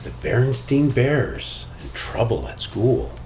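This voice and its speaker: A man narrating a children's story in an expressive read-aloud style. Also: medium pitch, slow, slight background noise. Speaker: storybook reader.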